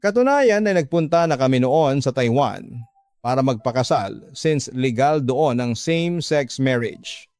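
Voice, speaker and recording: A man's voice: medium pitch, expressive, measured pace, darkish tone, dry recording. A man with a Filipino accent telling a first-person story as the narrator of a radio drama.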